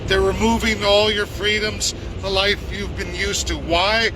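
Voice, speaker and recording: 40s man from Canada, heated insistent rant, high voice, noisy street behind. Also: fairly even intonation, unhurried. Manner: angry, insistent, repeats himself. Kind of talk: ranting monologue to viewers.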